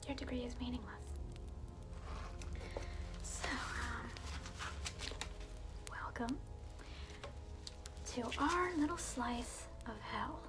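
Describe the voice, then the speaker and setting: A woman's voice: on the high side, extremely slow, long pauses. A woman voicing a smug, sardonic evil alter ego who greets newly arrived souls in hell, in a whispered ASMR roleplay, drawling and mocking with rambling asides. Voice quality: whispery, soft-spoken. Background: music.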